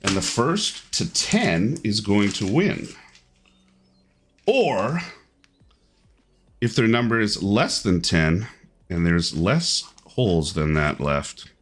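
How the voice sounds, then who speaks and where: A man's voice: lowish voice, sing-song, slow pace, long pauses. A man hosting and commentating a live online golf game tournament in upbeat play-by-play.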